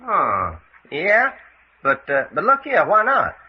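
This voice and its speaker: An actor playing a folksy, hot-tempered Texan adventurer in a radio mystery, with a rustic drawl and scrappy manner. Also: male voice, high voice, wildly swooping pitch, takes it slowly, husky, heavily acted. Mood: wary, folksy. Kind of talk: old-time radio mystery acting. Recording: dull lo-fi radio recording with noise.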